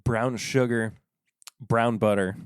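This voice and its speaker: A man hosting a casual solo podcast from his desk at home, talking in a rambling, halting way that often stops and restarts. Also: medium pitch, steady tone, clean tone, no room sound, clean studio sound. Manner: rambling, halting, self-interrupting. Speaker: podcast host reviewing whiskey.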